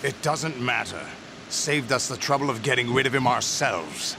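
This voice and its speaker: Game villain boss, mid-pitched and gravelly, cold, calculating, curt, over noisy ambience. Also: male voice, animated, moderate pace. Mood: cold, commanding. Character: cold commanding terrorist leader, dismissive and calculating. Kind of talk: video game cutscene acting. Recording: noisy vehicle-like ambience behind.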